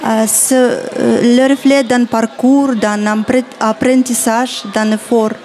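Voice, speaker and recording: A woman's voice: fairly high pitch, steady tone, takes it slowly, a little mellow. A woman giving prepared closing remarks in an earnest way, with fillers and restarts. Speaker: participant closing the show.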